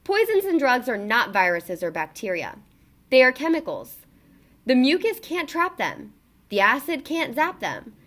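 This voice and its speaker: High, very lively woman reading to children. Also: a little slow. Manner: expressive, emphatic delivery for children. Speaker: read-aloud narrator. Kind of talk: children's book read-aloud.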